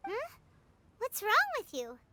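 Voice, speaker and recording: Super high squeaky fairy kid, excitable and pushy, voice bouncing everywhere, some noise behind. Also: female voice, takes it slowly, exaggerated voice. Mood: puzzled. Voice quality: squeaky, childlike. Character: tiny excitable floating fairy companion, childlike, blunt and greedy. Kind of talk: video game voice acting.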